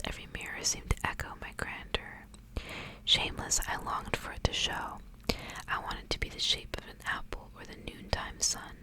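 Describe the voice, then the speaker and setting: A woman speaking in a breathy whisper in the style of an ASMR reader, softly reading and chatting. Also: low-quality recording. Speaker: ASMR reader hosting a book series. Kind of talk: whispered ASMR storybook reading with casual asides.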